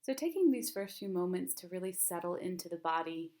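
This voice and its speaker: A woman leading a guided mindfulness meditation as a teacher, speaking gently and calmly, with unhurried phrasing and long pauses. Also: fairly low pitch.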